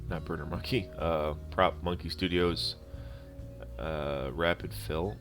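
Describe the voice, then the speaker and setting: A man with a deep voice gives a casual voice-over for a hands-on prop-making tutorial. He explains things in an unscripted, halting manner, as a hobby host would. Instrumental music plays behind his voice. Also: fairly even intonation, a bit bright.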